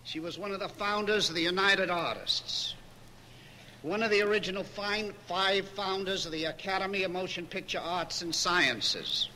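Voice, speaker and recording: A man's voice: high voice, normal speed, sharp tone. A man acting as master of ceremonies, making a formal introduction from a podium. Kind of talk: formal public introduction speech. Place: podium before an audience. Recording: faintly noisy archival event recording.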